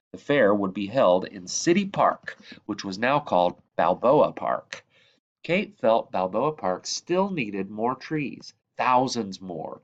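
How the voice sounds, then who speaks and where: A man reading a children's book aloud as a narrator, with measured, expressive phrasing and emphasis on key words. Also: on the low side, dull audio. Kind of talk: read-aloud storybook narration.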